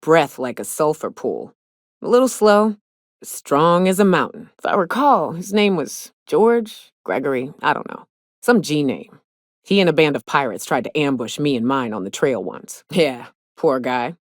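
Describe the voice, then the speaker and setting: A gruff female monster hunter from a video game, pitched low and recorded very dry. She drawls in a folksy, sardonic way, like a hard-drinking trail guide who has seen plenty. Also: big pitch swings, measured pace, no echo, exaggerated voice. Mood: wry. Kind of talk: video game character dialogue.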